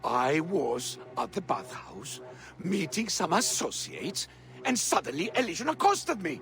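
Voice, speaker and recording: An actor playing a scrappy, excitable male informant in a video game, pleading and theatrical in a melodramatic manner. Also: on the high side, a little slow, soft background music, full character voice. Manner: melodramatic, indignant.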